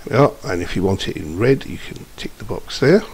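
Deep-voiced man, software tutorial. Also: moderate pace, slightly rough. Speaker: software demonstrator. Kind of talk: informal screencast tutorial narration. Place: indoors, voice-over for screen recording. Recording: steady background hiss.

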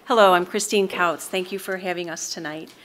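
A woman's voice: lowish voice, fairly even intonation, brisk, fairly bright tone. A middle-aged woman with an American accent delivers a partly read prepared statement at a podium microphone in a meeting room. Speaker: mental health board member presenting to city council. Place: large council meeting room, indoors.

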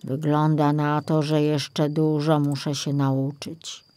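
A mild forest ranger in a children's tale, read by a woman. He is composed and measured, slow in pace, recorded close and dry. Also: low voice, flat delivery, warm tone, dry recording. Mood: rueful, tired.

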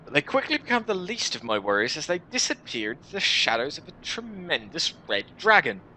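A man in his twenties reading a first-person story aloud in an expressive, deliberate, dramatic style. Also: on the high side, a little hiss or hum, poor audio. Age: 20s. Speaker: video creator reading a visual novel. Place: small room at home, indoors.

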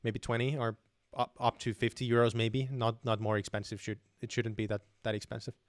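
A young man hosting a live stream Q&A, answering viewer questions in a relaxed way with many fillers, close to a studio mic at home. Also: medium pitch, a little fast, no room sound. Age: young adult. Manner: relaxed, many fillers and restarts, reads chat questions aloud. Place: dim home room with shelves. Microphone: studio mic on boom arm close to mouth.

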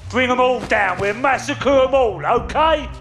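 A man's voice: very high-pitched, a little slow, fairly bright tone, full character voice. A man playing a volatile, sadistic London gangster in a video game, taunting and bullying in a London accent. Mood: menacing orders. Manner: taunting, bullying, foul-mouthed. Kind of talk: video game cutscene acting. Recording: music behind the voice.

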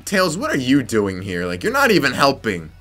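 A young man commentating his gameplay, frustrated and muttering to himself with sudden yelps, slightly raspy from yelling. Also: mid-range voice, huge pitch swings, normal speed. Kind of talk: let's play commentary. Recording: faint game music behind.

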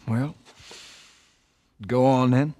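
An actor playing a wary, principled middle-aged younger brother with a Southern American accent in a cinematic game, plain-spoken and firm. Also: male voice, medium pitch, fairly even intonation, extremely slow, dark tone. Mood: easygoing.

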